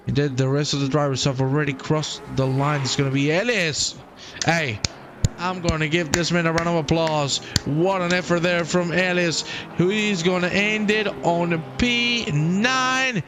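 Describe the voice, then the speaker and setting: A man calls an online car race live in a slow, drawn-out delivery with a slightly raised pitch. He jokes with his audience, and his voice climbs high and loud at the finish. Racing engine noise runs clearly under him. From half Croatian. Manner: enthusiastic, jokey, swells loud and high at the finish. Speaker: sim racing commentator.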